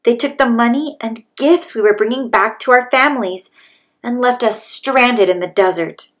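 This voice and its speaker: A woman's voice: on the high side, moderate pace, lightly acted. A woman voicing an indignant male rooster in a children's storybook. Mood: aggrieved. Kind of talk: children's storybook read-aloud.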